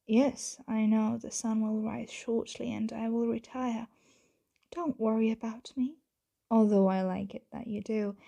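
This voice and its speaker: A woman voicing a possessive yet tender vampire owner in an ASMR roleplay, speaking softly and often whispering in formal, gently commanding words close to the mic. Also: fairly high pitch, full character voice. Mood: hesitant, fond. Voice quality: soft, breathy, often whispered. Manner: slow, hushed, gently commanding, formal wording. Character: possessive, tender female vampire owner addressing her servant.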